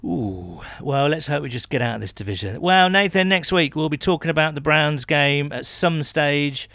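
A man's voice: on the high side, normal speed, a bit bright, dry recording. British man hosting a chatty sports podcast. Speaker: podcast host. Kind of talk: casual sports podcast chat, reading out listener messages.

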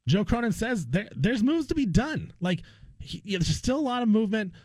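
A man's voice: sits high, very animated, normal speed, darkish tone, no room sound, studio quality. A man co-hosting a sports talk show, arguing his opinions emphatically and repeating phrases for emphasis. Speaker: sports radio co-host.

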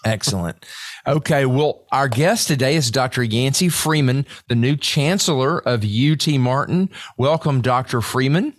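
A man's voice: mid-range voice, moderate pace, dry recording, clean studio sound. A man hosting a podcast, who talks in a chatty, folksy way and likes friendly teasing. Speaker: podcast host. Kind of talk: podcast interview.

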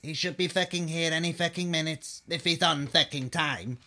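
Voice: medium pitch, moderate pace, sharp tone, natural voice. An actor playing a harried restaurant boss in an adult comedy sketch, breaking up every phrase with emphatic swearing. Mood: matter-of-fact.

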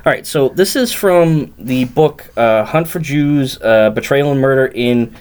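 A man's voice: medium pitch, measured pace, a little mellow, clean tone. A man co-hosting a podcast, explaining history in long, detailed stretches and reading passages aloud from a book. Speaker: podcast co-host.

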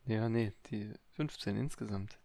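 A man's voice: lowish voice, unhurried, gravelly, mellow, no room sound. A man with an East German accent, co-hosting a podcast and chatting casually, self-deprecating and chatty. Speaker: podcast co-host. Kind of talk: casual chat.

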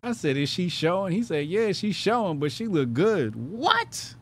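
A man hosting a call-in show, bantering loudly with callers, laughing and swearing in slang. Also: high voice, wildly swooping pitch, unhurried, a little hiss or hum.

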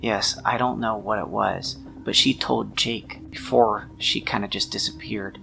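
A man's voice: on the low side, steady tone, unhurried, gravelly, sharp tone, faint music behind, exaggerated voice, poor audio. A man voicing an earnest, concerned woman in a tabletop game, speaking plainly and confidingly. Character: Fawn, earnest, concerned female helper.

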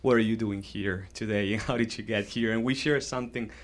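A man's voice: on the low side, steady tone, a little fast. A young adult man from Argentina, a graduate student, politely asking a speaker a question with some hesitation. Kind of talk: audience question.

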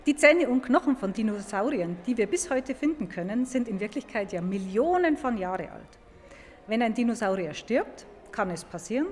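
A woman paleontologist explaining science to children in an informal, emphatic way, recorded in a large echoing museum hall. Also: fairly high pitch. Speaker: museum paleontologist, guest expert.

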